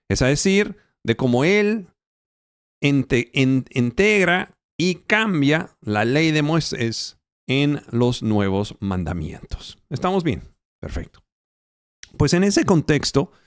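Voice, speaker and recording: A male pastor preaching a Bible teaching in Spanish, which is not his first language. He speaks slowly and haltingly, with fillers and self-corrections. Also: a little high, gravelly, no echo, studio quality. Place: church, recorded for an online channel.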